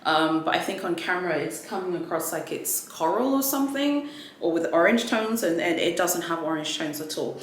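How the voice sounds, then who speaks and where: A woman's voice: lowish voice, lively intonation, normal speed, roomy sound, slight background noise. A woman whose first language is Swahili, chatting casually and rambling through a luxury unboxing vlog, with frequent restarts and fillers. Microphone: ring light setup, camera mic.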